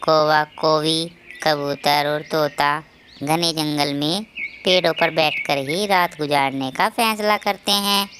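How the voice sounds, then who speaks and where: A woman's voice: low-pitched, fairly even intonation, slow pace, fairly bright tone, slight background noise. A woman narrating a scripted children's moral story.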